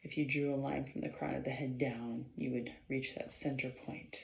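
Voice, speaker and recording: A woman leading a guided meditation in a measured, halting way, pausing between short phrases and often clearing her throat. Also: very deep voice, steady tone, a little hiss or hum. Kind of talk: slow guided meditation instruction. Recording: roomy, slightly dull sound.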